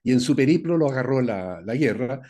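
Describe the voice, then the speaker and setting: A man's voice: mid-range voice, animated, fairly quick, warm tone. Older Chilean man, rambling talk. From Santiago, Chile. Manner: rambling, with frequent fillers and "¿no es cierto?". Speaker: guest engineer. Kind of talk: conversational interview.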